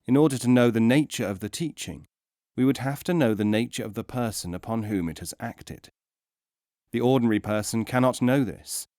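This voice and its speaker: A man reading philosophical teaching stories as a scripted audiobook narration, in a measured, emphatic, instructive way. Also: mid-range voice, mellow, no room sound, clean studio sound.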